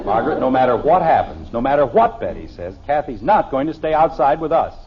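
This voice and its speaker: A man's voice: medium pitch, very animated, a little fast, a loud crowd, heavy background noise, natural voice. An actor playing a blustery, easily exasperated middle-aged father with an American accent in a 1950s radio sitcom. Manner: indignant, emphatic, mock-patient. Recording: dull old radio broadcast recording.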